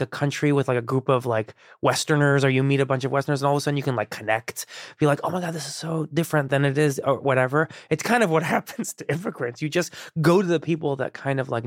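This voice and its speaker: A man's voice: mid-range voice, no echo, clean studio sound. A man who grew up in Iran and speaks Persian as his first language, telling his story as an interview guest in a rambling, candid way, full of restarts and fillers. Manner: rambling, many restarts and fillers, laughs easily.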